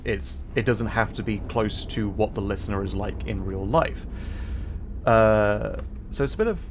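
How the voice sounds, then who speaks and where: A man with a fairly low voice hosts a casual game livestream. He talks in halting phrases, pausing as he goes. There is faint noise in the background. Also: gravelly. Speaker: livestream host.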